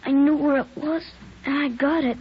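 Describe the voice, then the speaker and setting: A woman's voice: high voice, fairly even intonation, moderate pace, a little hiss or hum, lightly acted. A woman voicing a frightened nine-year-old boy who pleads earnestly and tearfully, in 1940s radio acting style. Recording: dull old radio broadcast.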